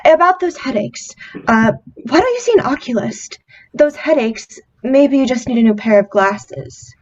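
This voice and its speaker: A woman acting a kind, practical young sweetheart in an old-time radio drama, gentle and solicitous. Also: high voice, lively intonation, a little slow, heavily acted. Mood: fond, caring. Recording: slightly dull, lo-fi.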